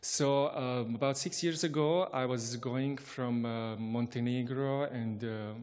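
A man's voice: medium pitch, fairly even intonation, darkish tone. A man from Bosnia and Herzegovina telling a personal travel anecdote in English, chuckling often and restarting his sentences. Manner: chuckling, halting, with frequent restarts. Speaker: storyteller.

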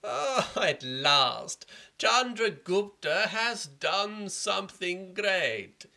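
Brief: man playing a slimy, cowardly minister, drawn-out sneer, bright voice. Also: high-pitched, animated, gravelly, pitched above the performer's own voice, exaggerated voice. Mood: sarcastic. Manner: sneering, then stammering. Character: sarcastic, cowardly royal minister.

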